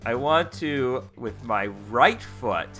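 A man's voice: mid-range voice, expressive, slow, fairly bright tone, faint music behind. A man chatting casually as a player in an improvised tabletop game, slangy and thinking aloud.

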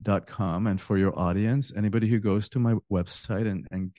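Low, mellow-voiced Cuban-born man. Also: fairly even intonation, moderate pace, dry recording, clean studio sound. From Cuba. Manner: earnest, repeats words when starting a thought. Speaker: author and podcast guest. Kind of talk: casual interview talk.

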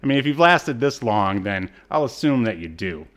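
A man hosting a casual pop-culture review chat, speaking in a halting, self-deprecating way. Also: mid-range voice, big pitch swings, fairly bright tone. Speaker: podcast host.

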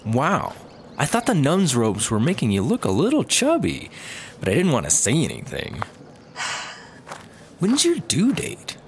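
A man's voice: mid-range voice, wildly swooping pitch, unhurried, pauses often, a little hiss or hum. A glib, swooping, clueless guy. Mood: baffled. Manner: glib, oblivious, swooping delivery. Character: clueless, cheeky man, flippant lovelorn rehab patient. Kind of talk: sci-fi comedy audio drama acting.

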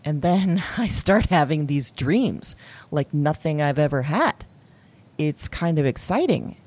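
An actress playing a lonely, awkward office worker, confiding haltingly and candidly in an audio diary drama. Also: female voice, low voice, expressive, darkish tone. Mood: unsettled, intrigued. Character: lonely, awkward woman office worker keeping a dream journal.

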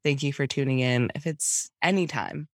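A woman's voice: a bass voice, moderate pace, no room sound. Young woman cohost, stuffy from a cold, playful, laughs a lot, dry close mic. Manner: playful, laughing often, announcer-like. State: sick with a cold. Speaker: podcast co-host. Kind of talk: casual chat.

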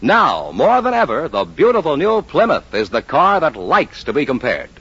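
An American male announcer speaks in a polished vintage radio sales style. His voice sits fairly high and moves in lively swings, a little husky. The old recording is dull and muffled. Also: moderate pace. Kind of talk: 1950s radio announcing and advertising.

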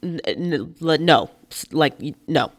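A woman hosting a podcast in a chatty, informal, expressive way, sounding a little nasal and congested from a cold. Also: sits low, unhurried. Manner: informal and expressive, often checks in with "right?". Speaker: podcast host and life coach. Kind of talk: chatty solo podcast talk.

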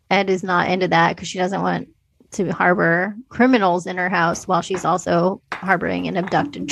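A woman's voice: on the low side, creaky. A woman co-hosting a podcast, discussing films casually in an informal, hedging, conversational way. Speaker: podcast co-host.

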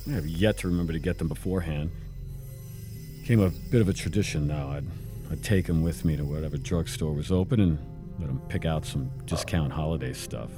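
An actor playing a haunted middle-aged physicist, grave and dramatic, in a sci-fi audio drama. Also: male voice, extremely low pitch, brisk, music in the background. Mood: earnest, wistful. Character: haunted middle-aged physicist father leading a science team. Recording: echoey reverberant room, faint noise.

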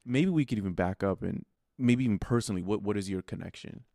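Stream host, male, very low and gravelly, relaxed question-asker. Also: quick pace. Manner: relaxed, reading questions from the chat. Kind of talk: livestream interview.

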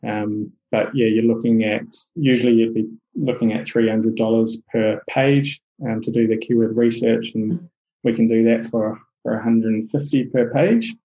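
A man's voice: medium pitch, moderate pace, echoey room, highs cut off. A man with a New Zealand accent, a podcast guest chatting casually about his business, with plenty of fillers and restarts. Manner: relaxed, many fillers and restarts. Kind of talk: casual interview chat.

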